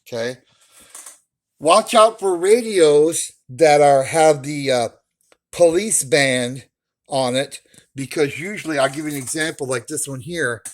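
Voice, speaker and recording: A man's voice: a little high, unhurried. A man talking casually and instructively as a hobbyist host, opinionated and emphatic, repeating his key points. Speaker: radio hobbyist host giving buying advice.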